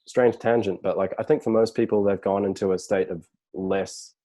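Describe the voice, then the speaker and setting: A man chatting casually as a podcast guest over a video call, relaxed and rambling with fillers. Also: on the low side, normal speed. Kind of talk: casual chat.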